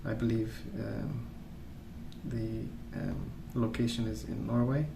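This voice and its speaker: A man speaking softly in a rambling ASMR style, hesitant and thinking aloud as he goes. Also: on the low side, flat delivery, takes it slowly, creaky. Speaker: ASMR video creator. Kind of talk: soft-spoken ASMR rambling while colouring. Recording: roomy, distant mic, noisy background.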